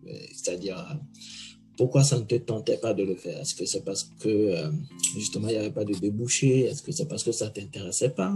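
Gravelly low-voiced male podcast host. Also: mellow. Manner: probing, hesitant, with many fillers. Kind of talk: interview questions.

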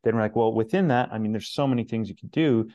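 Rambling man with a low voice. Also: fast, dark tone, highs cut off. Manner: rambling, many fillers and restarts. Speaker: searcher guest. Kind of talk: casual interview answers.

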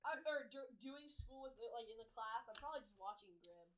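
A teenage girl joking quickly with friends on a casual podcast. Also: fairly high pitch, a crowd in the background, low-quality recording. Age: teens. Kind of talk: casual chat among friends.

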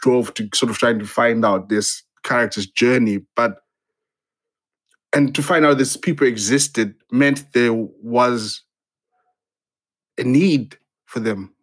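Hesitant, reflective man from Zimbabwe. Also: medium pitch. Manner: hesitant, halting, many restarts and fillers. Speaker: playwright guest. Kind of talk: reflective interview conversation.

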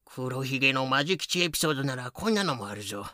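A man playing a rough, lively old-hand pirate telling tales with slangy, dramatic delivery in a fan-made voice drama. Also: medium pitch, fast talker. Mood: grim storytelling. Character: rough lame ex-pirate storyteller, boisterous, lively.

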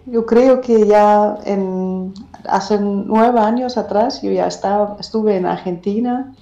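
A woman's voice: mid-range voice, slow pace, dark tone, roomy sound, muffled recording, soft background music. A woman from Germany with a German accent, a guest singer-songwriter chatting in an interview, talking hesitantly as she searches for words. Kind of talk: casual interview.

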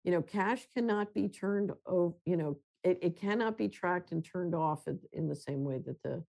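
A woman guest on a remote interview, explaining her ideas in a measured, conversational way with occasional restarts. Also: fairly low pitch, vocal fry, warm tone. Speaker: guest, runs a financial company.

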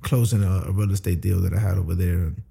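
Gravelly, husky New man. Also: deep voice, fairly even intonation, fast, mellow. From New York. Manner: easygoing, with many "uh" fillers. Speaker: podcast guest. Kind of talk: casual interview chat.

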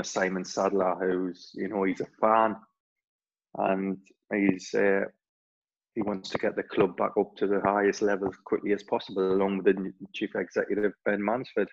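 A man's voice: fairly low pitch, flat delivery, moderate pace, lo-fi sound. A man in his forties with a Geordie accent, a former player and club staff member, answering interview questions in a rambling way with many restarts. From Newcastle, England. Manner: rambling, frequent restarts and fillers.

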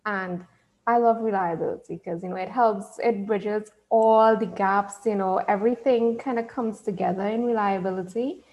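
A cheerful woman with a Trinidadian accent, chatting as a podcast guest. She laughs easily and talks in a warm, easy conversational way. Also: mid-range voice, fairly even intonation, moderate pace. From Trinidad and Tobago. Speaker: podcast guest, business owner. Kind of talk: casual interview chat.

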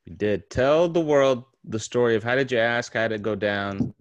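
A man's voice: mid-range voice, moderate pace, lo-fi sound. A man in his late twenties hosting a casual podcast chat, teasing his friend and swearing freely. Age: 20s. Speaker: podcast host.

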